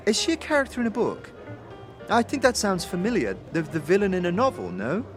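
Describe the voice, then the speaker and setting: A man's voice: sits high, wildly swooping pitch, brisk, over music. A man voicing a chatty, awkward female clerk in an audio drama, hesitant and polite. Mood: awkward, friendly.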